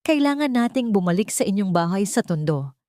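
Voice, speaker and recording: A woman's voice: mid-range voice, fairly quick, dry recording, lightly acted. Woman voicing a grave, loyal male bodyguard, dry sound. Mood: serious, urgent. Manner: earnest, grave, steady. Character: loyal, serious male bodyguard, devoted protector.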